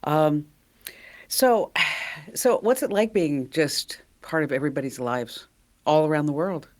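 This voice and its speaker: A woman with a deep voice hosting a podcast interview, chatting casually, laughing often and talking with plenty of fillers. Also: measured pace, a little mellow. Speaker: podcast host.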